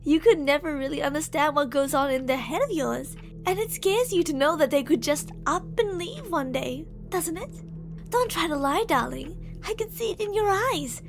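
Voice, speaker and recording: Woman playing female shapeshifting monster, very high pitch, possessive, coaxing, menacing, drawn-out intimate phrasing, horror ASMR acting, background music. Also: expressive. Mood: coaxing, manipulative.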